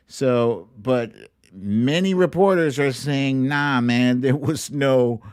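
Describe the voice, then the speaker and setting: A man's voice: medium pitch, slow, clean tone, no room sound, clean studio sound. A man hosting a casual political commentary livestream in a chatty, incredulous, amused way.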